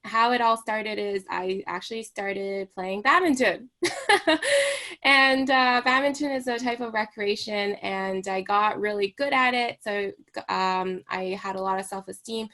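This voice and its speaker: A woman's voice: medium pitch, fairly even intonation, measured pace, pushed and bright. Young woman from Hong Kong, recreation therapist, casual radio chat, lots of "like" and "right?". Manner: chatty, many fillers and "right?" tags. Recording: radio studio interview.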